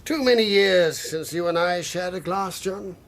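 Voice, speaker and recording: An actor playing an irascible older English country squire in a radio drama, gruff and indignant, with a raspy, gravelly voice. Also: male voice, high voice, moderate pace. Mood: gruffly sociable. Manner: gruff, indignant, old-fashioned bluster.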